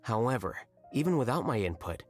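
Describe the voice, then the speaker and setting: An actor playing an aloof, blunt young scholar and reluctant official in a video game, speaking in a dry, measured, formal way. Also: male voice, medium pitch, sing-song, lightly acted. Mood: detached, matter-of-fact. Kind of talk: scripted video game dialogue. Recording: music behind the voice.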